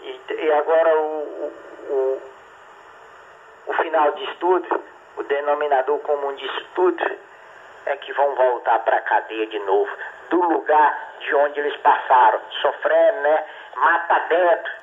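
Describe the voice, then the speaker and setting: A man's voice: on the high side, a little slow. A man talking casually over a ham radio link, rambling with many restarts and tag questions. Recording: tinny radio transmission with faint hiss.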